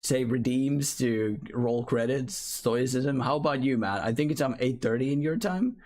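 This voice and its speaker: A man's voice: mid-range voice, normal speed, darkish tone. A man from Finland, chatting as a streamer over live gameplay and reading chat aloud, often apologizing.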